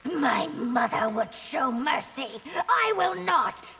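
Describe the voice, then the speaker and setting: Stern young ruler for a fighting game: formal, commanding, lively pitch, unhurried. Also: female voice, gravelly, big-room sound, heavy background noise, heavily acted. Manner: imperious, formal, measured. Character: stern, proud young empress, defiant ruler. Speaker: video game character. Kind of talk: dramatic fighting game dialogue.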